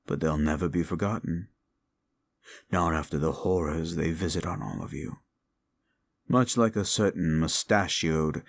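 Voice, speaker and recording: A man voicing a deep gravelly fallen demon. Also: heavily acted. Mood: brooding. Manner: slow, lecturing, condescending to the listener. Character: brooding fallen demon, ancient, bitter and proud. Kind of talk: audio roleplay drama.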